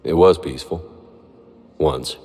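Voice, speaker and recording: Steady serious army commander in a video game, real low voice, hall reverb. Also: male voice, a little slow, full character voice. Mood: grave. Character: resolute military commander, adult man, grave and steady. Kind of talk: video game dialogue acting.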